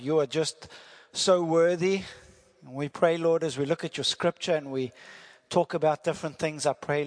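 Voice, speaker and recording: Visiting South African missionary preacher, man, friendly, jokes, quizzes the congregation. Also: on the high side. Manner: friendly, jokey, asks the congregation questions. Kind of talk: sermon with prayer. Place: church hall. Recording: church hall reverb.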